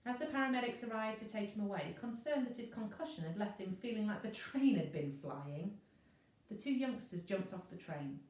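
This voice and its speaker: Female teacher storyteller: expressive narration of children's fiction, distant, very reverberant room. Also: medium pitch, normal speed. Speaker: teacher reading a class novel. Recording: very echoey room, distant mic.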